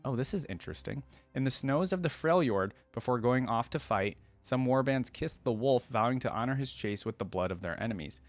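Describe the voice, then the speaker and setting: A man's voice: medium pitch, lively intonation, fairly quick, hardly any pauses, dry recording. A man hosting a reaction video, switching between casual commentary and reading aloud.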